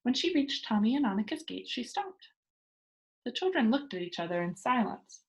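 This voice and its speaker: High, lively woman reading a children's story. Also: normal speed. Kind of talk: scripted children's story narration.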